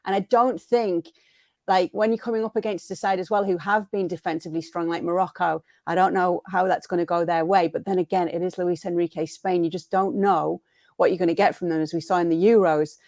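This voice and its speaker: A woman's voice: on the low side, steady tone, a little fast, darkish tone, no room sound. Woman hosting football talk, dry sound. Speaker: football show host. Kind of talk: conversational sports discussion.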